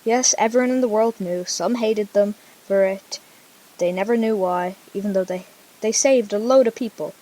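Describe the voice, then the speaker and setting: A woman's voice: medium pitch, normal speed, mellow, slight background noise, rough recording. A female storyteller narrating an amateur radio drama informally, with casual conversational asides.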